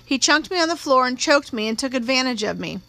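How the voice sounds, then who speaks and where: Woman voicing a high, fearful country girl. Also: expressive, brisk, gravelly, sharp tone, dry recording, exaggerated voice. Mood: agitated, insistent. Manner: folksy, ungrammatical country speech. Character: young rural girl of nineteen, fearful, tearful, stubbornly defensive. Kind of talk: acted audiobook dialogue.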